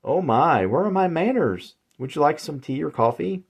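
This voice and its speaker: A man's voice: fairly high pitch, lively intonation, normal speed, gravelly, breathy edge, voice pushed up, exaggerated voice. A man voicing a weary, frustrated suburban housewife who talks in a chatty, exasperated way. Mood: friendly.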